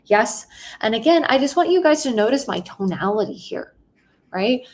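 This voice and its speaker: A woman sales coach, soft-spoken and empathetic, who teaches through role-play and keeps checking in with "right?". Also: on the high side, big pitch swings, moderate pace, slight background noise.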